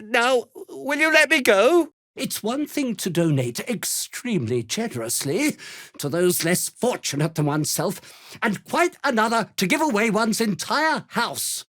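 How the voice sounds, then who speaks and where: High-pitched, jittery, pompous British merchant. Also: male voice, very animated, measured pace, fairly bright tone, no room sound, clean studio sound, heavily acted. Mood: pleading, pompous. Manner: haughty, indignant, nervously faltering under pressure. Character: pompous, jittery, self-serving male merchant and house owner. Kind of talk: video game dramatic acting.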